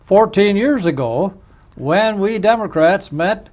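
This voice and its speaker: Man hosting a local TV talk show, genial and welcoming, voice pitched high. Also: animated, slow, a little mellow. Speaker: host. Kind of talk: hosting a public access TV interview show.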